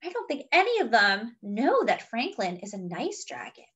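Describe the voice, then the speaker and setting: A woman reading a picture book aloud to young children, with expressive, drawn-out delivery and chatty asides. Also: on the high side.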